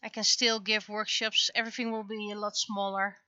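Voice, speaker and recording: A woman chatting casually on a livestream as an artist at work, speaking haltingly as she thinks aloud. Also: mid-range voice, little pitch movement, pushed and bright, clear, resonant tone, no echo, highs cut off.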